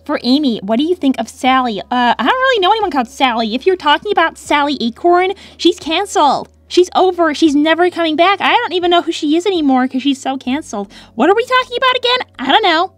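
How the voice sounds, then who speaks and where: Cartoon hedgehog girl: very high, lovestruck, easily flustered, close dry sound over music. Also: lively intonation, no echo. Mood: breezy, dismissive. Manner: gushing, quick to get flustered and defensive. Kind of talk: in-character cartoon Q&A.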